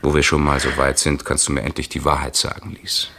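Jealous husband in a radio crime play, deep, bright, cold and accusing. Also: male voice, little pitch movement, a little fast, creaky, full character voice. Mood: grim. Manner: cold and accusing, then panicked. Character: Claude, jealous husband confronting his wife. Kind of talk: radio drama acting.

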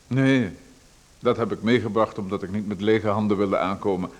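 A man's voice: fairly low pitch, steady tone, moderate pace, lightly acted. An actor in a radio play voicing a pushy, ingratiating man who presses his help on someone and hints at danger. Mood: insinuating. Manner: ingratiating, insistent, with a veiled threat.

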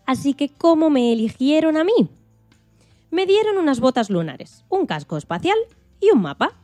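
Storytelling farm hen played by a woman: high, playful, dramatic, children's audio tale, music underneath. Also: normal speed, no echo. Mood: conspiratorial, animated. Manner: expressive, dramatic, playful emphasis. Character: excitable farm hen astronaut telling her own story. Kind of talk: radio play storytelling for children. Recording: music behind the voice.

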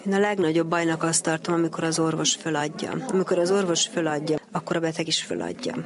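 Creaky woman doctor getting interviewed, earnest, lots of people chattering behind. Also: sits low, lively intonation. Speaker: homeopathic doctor, interview guest. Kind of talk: reflective interview talk. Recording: busy crowd noise behind voice.

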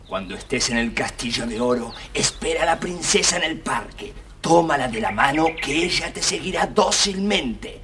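A man voicing a clever, bossy fox who gives sly, emphatic instructions in a husky voice, in a children's story. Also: a little high, animated, normal speed, a bit bright, a little hiss or hum. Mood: instructing. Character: clever, bossy, helpful fox guiding a prince. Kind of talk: recorded children's storybook dramatization.

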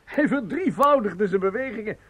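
Lively, bustling backstage fusspot. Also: male voice, sits high, normal speed. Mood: admiring. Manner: fussy, hesitant, polite and repetitive. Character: bustling, officious theatre man soothing a star backstage. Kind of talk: radio play acting.

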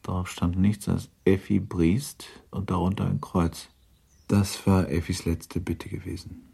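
A man's voice: sits low, little pitch movement, a little slow, gravelly, mellow, husky, heavily acted. A man narrating a literary radio drama in a sober, composed manner.

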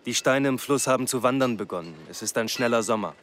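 A man's voice: medium pitch, fast, fairly bright tone, slight background noise, close to an everyday voice. A man acting in a German radio drama as a reserved, stubborn farmer from the mountains, speaking tersely and earnestly.

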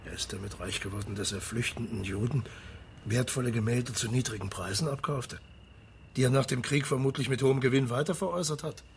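Male detective, radio crime play, actor: medium pitch, sober, courteous, persistent, factual questioning. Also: fairly quick, a little hiss or hum, close to an everyday voice. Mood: troubled. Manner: matter-of-fact, formal questioning. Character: sober, persistent male Carabinieri investigator, polite and probing.

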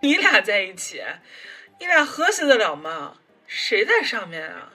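A woman's voice: medium pitch, very animated, measured pace, bright, forceful tone, husky, soft background music, heavily acted. A woman playing a cocky, hot-tempered young man in an audio drama, whiny, mocking and foul-mouthed. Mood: outraged.

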